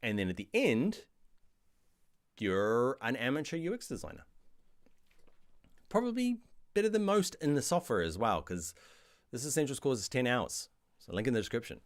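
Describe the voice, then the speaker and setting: A middle-aged man with a New Zealand accent, answering viewer questions in a casual live stream about design software. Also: mid-range voice, normal speed, long pauses. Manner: chatty, reads viewer questions aloud, animated asides. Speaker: online design course instructor.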